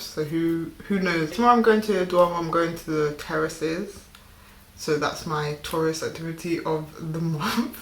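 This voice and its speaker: Young vlogger, mid-pitched, casual and rambling, recorded with noticeable room echo. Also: a little hiss or hum. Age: young adult. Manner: rambling, chatty, full of "like" fillers and quick laughs. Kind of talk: casual vlog chat. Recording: roomy echo.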